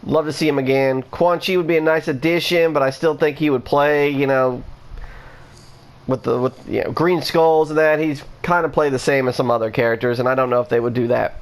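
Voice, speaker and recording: A man's voice: mid-range voice, steady tone, a little fast. A man talking casually into the camera, rambling with lots of restarts and fillers as he lists what he wants to see in a game. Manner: rambling, many restarts and "you know" fillers. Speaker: gaming vlogger. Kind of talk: casual rambling opinion talk.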